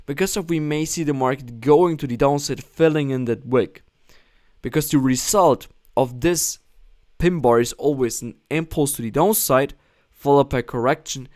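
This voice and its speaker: A man's voice: mid-range voice, darkish tone. Man explaining forex charts live. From Germany. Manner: explanatory, repeats "okay?" often, non-native phrasing. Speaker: forex trading analyst, live stream host. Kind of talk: live trading analysis stream, reading chat.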